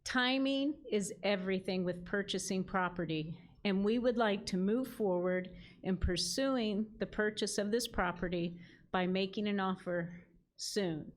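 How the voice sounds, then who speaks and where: Earnest woman giving a speech. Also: medium pitch. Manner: earnest, reading from a script. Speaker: ministry leader. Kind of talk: prepared speech at a gala.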